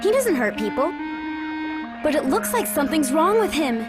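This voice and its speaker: High-voiced spirited young adventurer. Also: female voice, big pitch swings, moderate pace. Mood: concerned. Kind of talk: video game cutscene acting. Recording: loud music behind the voice.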